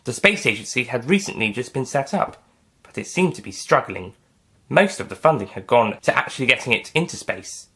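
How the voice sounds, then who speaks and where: A man's voice: mid-range voice, very animated, a little fast, a bit bright, husky. A man reading scripted science fiction story narration as its narrator. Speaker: author and narrator.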